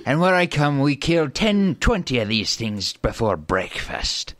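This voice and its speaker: A man's voice: mid-range voice, very animated, measured pace, fairly bright tone, no room sound, clean studio sound. A man playing a boastful, bloodthirsty country leprechaun rustler in a comedy radio sketch. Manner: boastful, putting on an accent. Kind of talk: improvised comedy radio theater.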